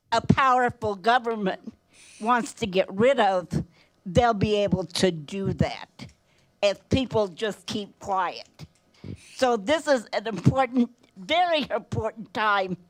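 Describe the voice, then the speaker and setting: Slow-paced older woman speaking out earnestly. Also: fairly high pitch, animated, rough recording. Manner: earnest, emphatic, pausing between short phrases. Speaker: public commenter at a council meeting. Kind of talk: impassioned public comment speech.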